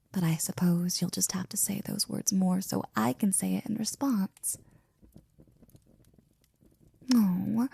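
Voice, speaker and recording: A woman playing a devoted, flirty and jealous maid in an intimate ASMR roleplay, speaking softly and close to a whisper with teasing, courtly wording. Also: mid-range voice, no echo. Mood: adoring, teasing. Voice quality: soft, breathy, often near whispering. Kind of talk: ASMR roleplay, intimate one-sided scene.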